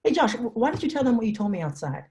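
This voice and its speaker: A man reads a wry teenage boy who confides in the audience about his heartbroken buddy. His voice has a mellow, dark tone, and his pitch moves a lot. He talks in a loose, conversational, musing way. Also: sing-song, rapid-fire. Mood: scheming. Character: teenage boy, loyal friend, talks to the camera. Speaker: actor in a table reading. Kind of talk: table-read script acting, direct-to-camera asides.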